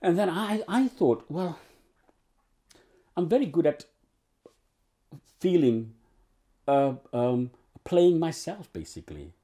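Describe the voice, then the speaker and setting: Dark-voiced older man reminiscing. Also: on the high side, very animated, slow pace, pauses often. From Uganda. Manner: rambling, many restarts and fillers. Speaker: actor interviewee. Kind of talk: oral history interview. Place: living room with bookshelves and sofa.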